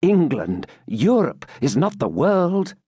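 A proud, domineering templar knight, as a man reads him aloud, speaking with fierce, impassioned command. His pitch rises and falls in a lively way. The sound is close and dry. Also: slow pace, breathy edge, dry recording, exaggerated voice. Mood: ardent.

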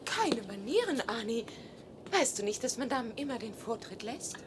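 An actress playing an excitable, bossy female astronaut in a science-fiction radio play. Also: on the high side, normal speed, background noise. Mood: coquettish. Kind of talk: German radio play acting.

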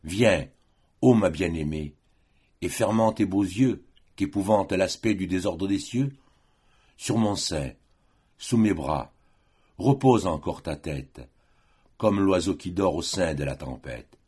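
A man's voice: low-pitched, expressive, slow, long pauses, darkish tone, slightly rough, exaggerated voice. A man voicing a tender, solemn lover who consoles his beloved in declamatory poetic recitation. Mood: tender, protective.

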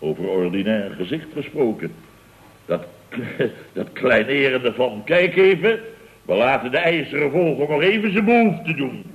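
Pompous, swooping, indignant boss. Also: male voice, medium pitch, very animated, normal speed, highs cut off. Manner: pompous, self-justifying, theatrical storytelling. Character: blustering, indignant male boss of a building firm. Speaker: lead actor in radio comedy serial. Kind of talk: 1970s Dutch radio comedy acting. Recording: vintage radio broadcast recording.

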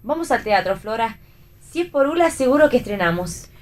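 A woman's voice: a little high, expressive, brisk, close to an everyday voice. A woman with an Argentine accent playing a breezy, teasing friend in a radio theatre reading. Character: Romy, breezy teasing female friend giving advice.

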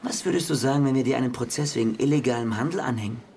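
Man playing brash male cop, creaky mid-range voice, blunt, dogged, radio crime play, noisy background. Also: mid-range voice, rapid-fire, darkish tone, lightly acted. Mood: pressing, threatening. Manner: pushy, blunt, streetwise. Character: dogged, brash male police sergeant, detective's partner. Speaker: actor in a radio crime drama. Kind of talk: naturalistic radio play acting.

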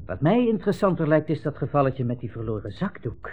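Smug army captain on muffled old radio. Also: male voice, on the high side. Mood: persuasive. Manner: hesitant hems, leading questions, condescending. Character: smug, insinuating army captain interrogating refugees. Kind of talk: 1960s Dutch radio play acting. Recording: muffled old radio recording, narrow band.

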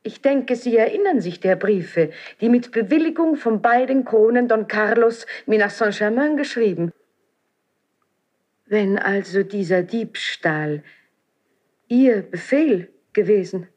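An actress playing a proud, dignified queen in a 1970s German radio play, speaking measured, formal verse with defiance. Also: mid-range voice, animated, darkish tone. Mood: icy dignity. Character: proud, dignified young queen, defiant before her husband.

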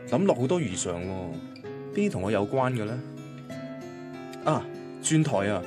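An actor playing an anxious, defensive young boyfriend from a Cantonese radio drama, conversational and grumbling, with a Hong Kong accent. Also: male voice, mid-range voice, big pitch swings, normal speed, natural voice. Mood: reflective, uneasy. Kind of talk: radio drama first-person narration and dialogue. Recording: guitar music behind the voice. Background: guitar music.

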